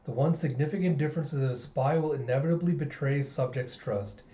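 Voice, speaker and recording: A man playing a composed, serious father who is secretly a calculating spy, speaking in measured, formal sentences. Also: on the high side, warm tone, echoey room, lo-fi sound, lightly acted. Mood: earnest.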